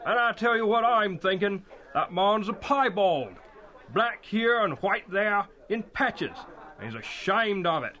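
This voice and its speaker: A folksy, grumbling carter from a radio play. His voice is bright and forceful, swinging widely in pitch as he gossips and insists. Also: expressive, unhurried, highs cut off, exaggerated voice. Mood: gossipy, insistent. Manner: folksy, grumbling, dialect grammar. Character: gruff rustic male carter, gossipy and grumbling, older working man. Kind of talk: audio drama acting.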